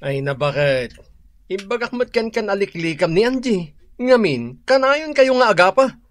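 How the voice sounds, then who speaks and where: A man's voice: high-pitched, sing-song, normal speed, dry recording. A man with an Ilocano accent playing a preachy, peace-seeking husband who scolds, in a radio drama. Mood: admonishing. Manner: admonishing.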